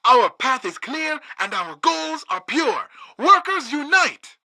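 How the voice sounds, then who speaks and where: An actor playing a rousing, zealous communist party orator giving declamatory propaganda speeches in a radio parody. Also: male voice, extremely high pitch, very animated, slow, pushed and bright, no room sound, full character voice. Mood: triumphant zeal.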